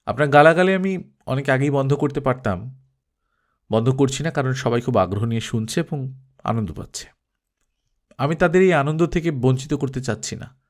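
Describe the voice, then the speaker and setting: A man playing an icily calm male film director. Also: medium pitch, normal speed, pauses often, close to an everyday voice. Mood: cool, unruffled. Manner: unruffled, polite, coolly sardonic. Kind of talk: audiobook character acting.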